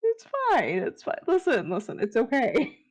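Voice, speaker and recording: A woman's voice: on the high side, huge pitch swings, moderate pace. A woman chatting casually in a group, giggly and self-deprecating.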